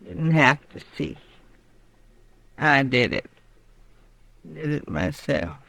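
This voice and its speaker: Slow, halting frontier widow, gravelly and bleak. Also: female voice, a bass voice, animated, a bit bright, no room sound, full character voice. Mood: numb resolve. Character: grief-stricken frontier widow confessing to killing her husband. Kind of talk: old radio western acting.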